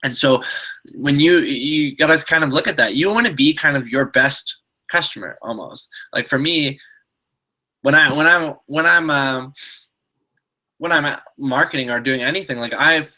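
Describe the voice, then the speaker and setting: A man's voice: on the high side, normal speed, pushed and bright, poor audio. A man with a stuffed-up, slightly nasal voice, giving a motivational coaching talk on a video call and often restarting his sentences. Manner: repetitive, restarting, pep-talk tone. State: stuffed-up nose. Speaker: online marketing coach.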